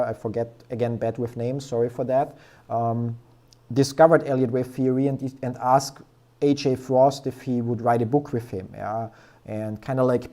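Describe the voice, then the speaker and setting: A man's voice: mid-range voice, mellow. A young man hosting a stock trading stream, casually analyzing charts and thinking aloud in a rambling way, with plenty of fillers.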